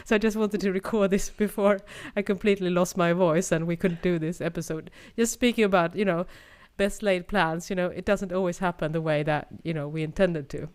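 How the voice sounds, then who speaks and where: A woman's voice: on the low side, fairly even intonation, a little fast, few pauses, a little mellow, clean tone. A woman co-hosting a podcast in reflective casual chat, speaking with a cold. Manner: reflective, thoughtful, many "you know"s. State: has a cold. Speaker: podcast co-host.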